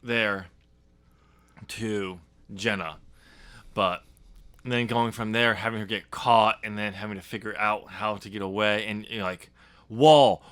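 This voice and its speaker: A man's voice: lowish voice, steady tone, measured pace, pauses often, a bit bright, clean tone. A man hosting a podcast, casually talking through his own writing in a hesitant, explanatory way. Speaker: podcast host and author. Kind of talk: casual discussion of his novel draft.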